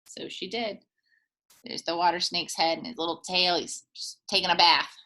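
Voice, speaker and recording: A woman reading a children's picture book aloud in a playful, expressive way, as a teacher does for young listeners. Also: lowish voice, normal speed. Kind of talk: animated children's storybook read-aloud.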